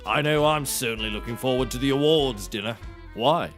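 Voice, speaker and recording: A man's voice: mid-range voice, brisk, fairly bright tone, soft background music, natural voice. Man playing a wry mid-voiced private eye. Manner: dry, wordy, teasing. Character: wry, articulate, sensible private eye colleague. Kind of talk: comedic mock-noir dialogue.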